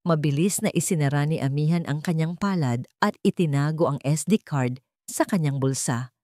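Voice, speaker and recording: A woman narrating a scripted audio drama in a measured, suspenseful storytelling manner. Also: low-pitched, darkish tone, no room sound, clean studio sound.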